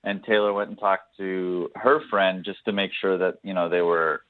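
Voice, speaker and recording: A man's voice: low-pitched, fairly even intonation, moderate pace, no room sound. A man calling in to a radio show, telling his story casually and a little hesitantly, good-natured. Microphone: telephone. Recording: thin, narrow phone line.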